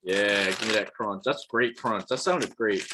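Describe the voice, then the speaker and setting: A man's voice: lowish voice, measured pace, background noise. Upbeat young guy. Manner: upbeat, emphatic, slangy. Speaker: podcast co-host. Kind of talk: casual chat.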